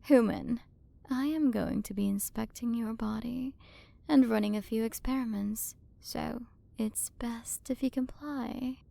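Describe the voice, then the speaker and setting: Woman playing a slow, creaky, haughty alien queen. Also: on the high side, mellow. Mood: possessive, fascinated. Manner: condescending, flirtatious, drawn-out delivery with breathy drops into whispers. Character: haughty, teasing, possessive alien galaxy queen. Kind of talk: ASMR roleplay, scripted character acting.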